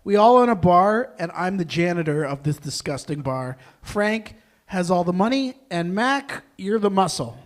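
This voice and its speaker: High-voiced man in casual comic chat. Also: big pitch swings, normal speed. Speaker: table read cast member.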